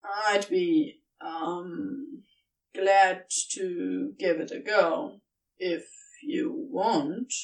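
A woman's voice: mid-range voice, lively intonation, drawn-out words, vocal fry, far from the mic, pitched below the performer's own voice, exaggerated voice, low-quality recording. A woman voicing a laid-back, flirtatious talking serpent in a fan fiction audio story, casual, teasing and a little hesitant. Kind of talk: audiobook-style fan fiction character reading.

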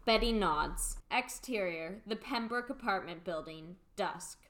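A woman's voice: mid-range voice, measured pace, a bit bright. A woman reading scripted stage directions as the narrator of a radio play.

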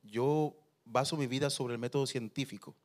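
A man, a pastor preaching and telling personal anecdotes in a lively way. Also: medium pitch, normal speed. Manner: lively storyteller who acts out quotes.

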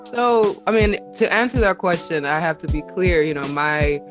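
A woman with a low, slightly raspy voice talks as a guest on a casual podcast. She is playful and quick to laugh. Music plays clearly behind her. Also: expressive. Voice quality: deep, raspy voice. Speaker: guest, wine consultant.

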